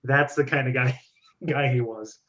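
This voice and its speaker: A man's voice: medium pitch, fairly even intonation, unhurried, a bit bright. A man hosting a casual group discussion over an online call. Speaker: host. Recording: dull lo-fi call audio.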